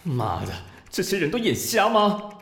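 A man's voice: sits high, sing-song, normal speed, vocal fry, a bit bright, full character voice. A man with a Taiwanese Mandarin accent playing a proud, foul-mouthed, easily flustered police officer who blusters, grumbles and sputters in an audio drama. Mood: smug grumbling. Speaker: voice actor.

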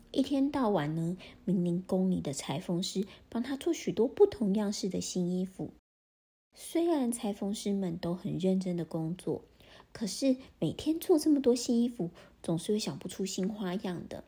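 A woman narrates a children's story in a very breathy voice that slips into half-whispers. Her tone is mellow and soft. She talks gently and animatedly, speaking straight to young listeners. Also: medium pitch.

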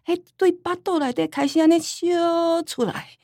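A woman playing a forgetful, homely old grandmother in a radio drama, rambling and sighing with a Taiwanese accent and drawn-out sentence endings. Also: extremely high pitch, fairly even intonation, a little mellow, dry recording, studio quality. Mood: wistful, rambling.

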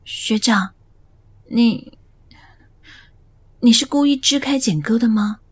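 A woman's voice: a little high, slow, breathy edge. A woman playing an earnest, curious young cafe worker in a Mandarin audio romance drama. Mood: concerned, probing.

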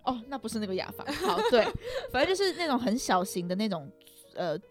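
A woman's voice: on the high side, big pitch swings, a little fast, faint music behind. A young woman with a Taiwanese Mandarin accent telling a personal story casually, rambling and often circling back to rephrase.

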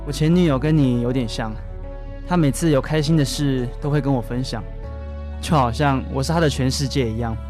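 A man's voice: medium pitch, fairly quick, a little mellow, faint music behind, close to an everyday voice. A man acting as a resigned, wounded bartender in a modern urban radio drama. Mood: regretful. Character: resigned, wounded male bartender about to close up. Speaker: actor.

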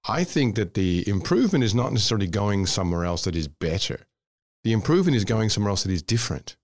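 A man's voice: on the low side, sing-song, fast. A middle-aged man from Scotland, raised in Australia, hosting an interview and talking reflectively with many "you know" fillers. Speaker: interview host. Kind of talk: interview conversation.

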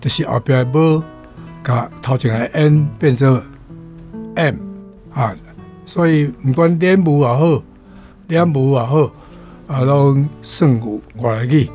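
An older man with a Taiwanese accent explaining vocabulary as a language teacher, in short, halting phrases. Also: mid-range voice, warm tone, faint music behind.

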